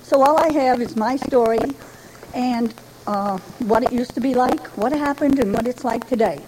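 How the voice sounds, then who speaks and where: An older woman from Rhode Island tells her personal recovery story to a meeting in a chatty, folksy way with gentle humor. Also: fairly high pitch, normal speed, noisy background. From Rhode Island, New England. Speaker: Al-Anon member giving a talk. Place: speaking to a gathering.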